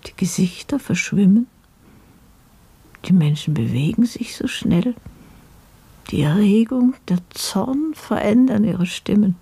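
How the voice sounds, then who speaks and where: An actress playing a reflective woman narrator in a literary radio play, speaking with a breathy, husky voice in a measured, contemplative way. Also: medium pitch, animated, mellow, full character voice. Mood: hushed, reflective. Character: reflective woman narrator recalling a servants' uprising.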